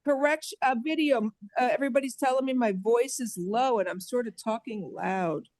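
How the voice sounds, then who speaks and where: A woman judge presiding over a bond hearing from a courtroom microphone, speaking in a brisk, procedural way. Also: a little high, darkish tone, no echo.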